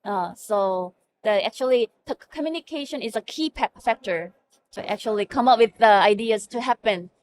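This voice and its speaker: A woman's voice: on the high side, moderate pace, a little mellow. A middle-aged woman with a Korean accent, a native Korean speaker and hospital information officer, answering interview questions earnestly with many fillers and restarts. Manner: earnest, frequent fillers and self-corrections.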